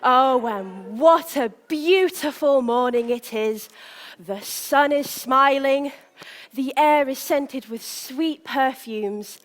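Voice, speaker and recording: Young panto prince female performer: high-pitched, slow, theatrical delivery, self-important and lovestruck, live in a reverberant hall. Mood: cheerfully grandiose. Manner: theatrical, declamatory, addressing the crowd with dramatic pauses. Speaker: stage actor. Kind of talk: live pantomime monologue to the audience. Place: large indoor theatre stage.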